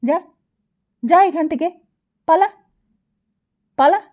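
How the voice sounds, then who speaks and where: A woman's voice: very high voice, slow pace, mellow, dull audio, pitched above the performer's own voice. A woman voicing an agitated, bossy man in an audiobook, firing insistent, bewildered questions. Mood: irritated. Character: agitated, bossy brother-in-law, bewildered man demanding answers. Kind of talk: audiobook character dialogue.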